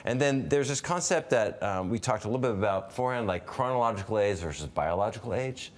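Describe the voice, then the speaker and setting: Deep-voiced man in his fifties hosting. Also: expressive, fast talker. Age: 50s. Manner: thinks aloud with restarts and fillers, asks questions. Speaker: webinar host. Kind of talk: conversational interview.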